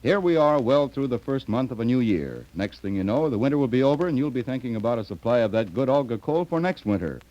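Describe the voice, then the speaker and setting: A man's voice: mid-range voice, animated, fast talker, few pauses, dark tone, highs cut off. A man announcing in 1930s radio sponsor style, addressing listeners in a folksy way on an old recording.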